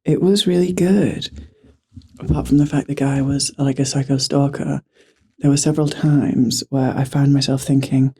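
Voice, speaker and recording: A man who is losing his voice and sounds hoarse and raspy. He chats casually in an ASMR-style whisper, joking at his own expense and slipping between whisper and voice, into a handheld mic. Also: mid-range voice, steady tone, moderate pace, mellow. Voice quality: raspy, guttural. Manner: chatty, self-deprecating, drifts between whisper and voice. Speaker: vlogger. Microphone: handheld microphone.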